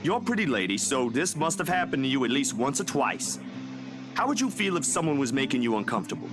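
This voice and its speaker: A man's voice: medium pitch, sing-song, quick pace, close to an everyday voice. A man playing a polite, principled young man in a visual novel game, earnest and hesitant yet firm. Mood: firm, frustrated. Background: game music.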